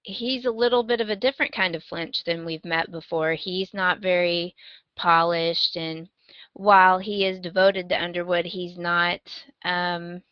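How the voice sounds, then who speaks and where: A woman's voice: lowish voice, steady tone, a little slow, no room sound. Lady podcast host, casual and a bit wry, close dry sound thats slightly dull. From United States. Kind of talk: casual podcast chat, giving a recommendation.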